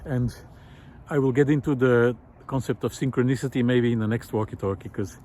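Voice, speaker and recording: A man's voice: mid-range voice, fairly even intonation, fairly quick, dark tone, a little hiss or hum. A man hosting a vlog, giving a reflective, unscripted talk with thoughtful, hesitant phrasing while walking outdoors. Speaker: vlog host. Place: outdoors in a snowy park, walking.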